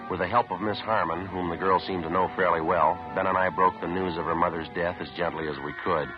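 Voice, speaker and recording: A very deep-voiced American police detective as a man acts him tells his case in clipped, deadpan sentences. He is terse and matter-of-fact. The sound is a dull 1950s radio recording with music in places. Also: fast, lightly acted. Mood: somber, clipped. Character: terse, steady male police detective sergeant. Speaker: lead actor narrating and playing a detective.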